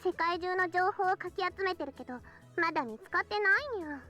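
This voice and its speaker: A woman's voice: very high-pitched, very fast, bright, forceful tone. A woman voicing a young, playful catgirl in a visual novel, cutesy, with cat-like sentence endings. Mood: apologetic, earnest. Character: cute playful catgirl, young, earnest co-conspirator. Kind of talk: Japanese visual novel voice acting. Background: game music.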